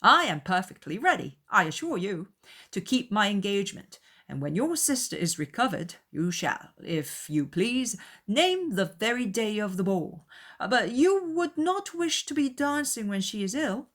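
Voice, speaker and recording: A woman voicing an amiable young gentleman in a period audiobook, polite and obliging. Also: mid-range voice, sing-song, moderate pace, voice pushed up.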